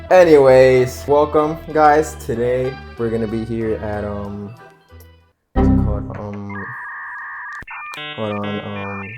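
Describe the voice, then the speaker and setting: A man's voice: on the low side, level tone, slow, pauses often, over music. A young man hosting a casual vlog, boasting playfully while doing makeup blindfolded. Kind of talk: casual joking vlog chat.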